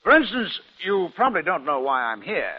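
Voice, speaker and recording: A man's voice: high voice, big pitch swings, fairly quick. An actor playing a boastful, scheming businessman and smug killer in an old radio drama. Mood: smug, chatty. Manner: boastful, self-satisfied, theatrical. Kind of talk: 1950s radio drama acting. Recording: muffled vintage radio broadcast.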